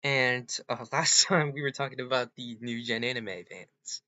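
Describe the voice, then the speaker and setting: A man hosting a casual, unscripted vlog, talking haltingly and speaking straight to a chatbot. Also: medium pitch, fairly even intonation, sharp tone, dry recording, highs cut off. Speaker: host of an online character-chatbot video.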